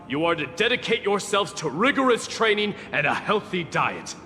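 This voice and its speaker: An actor playing a proud, stern crown prince in a video game, speaking in a formal, measured, commanding way. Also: male voice, on the high side, a bit bright, big-room sound, slight background noise.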